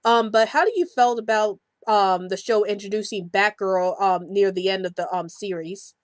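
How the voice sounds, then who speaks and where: A woman with a mid-pitched voice hosts an informal discussion podcast. She pauses and fills gaps as she gathers her thoughts. The sound is dry, with little room around it. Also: no room sound. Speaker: podcast host.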